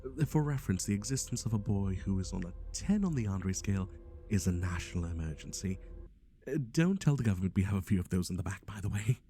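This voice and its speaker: A man's voice: low-pitched, lively intonation, fast, warm tone, soft background music. A man playing a flippant, scatterbrained matchmaking agency boss in an ASMR roleplay, rambling offhandedly and muttering asides to the listener. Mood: blasé, darkly comic. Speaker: voice actor.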